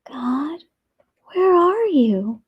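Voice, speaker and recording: Woman acting a hushed, wondering little girl. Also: high voice, sing-song, drawn-out words, no echo, muffled recording, pitched above the performer's own voice, full character voice. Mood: hushed, searching. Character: curious young girl searching earnestly for God.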